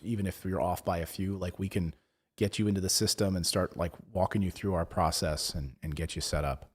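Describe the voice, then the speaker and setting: A man hosting a live investing show, chatting casually, rambling with lots of fillers and restarts, his voice going hoarse. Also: low-pitched. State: losing his voice. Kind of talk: casual live-stream chat, reading viewer comments.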